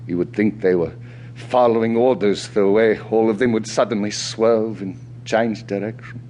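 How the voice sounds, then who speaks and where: An actor playing a restless, talkative South African ex-soldier in an audio drama, chatty and colloquial. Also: male voice, lowish voice, fairly even intonation, moderate pace, darkish tone, a little hiss or hum, close to an everyday voice. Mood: wistful. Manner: chatty, colloquial, punctuated by sighs and short laughs. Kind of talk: audio theatre acting.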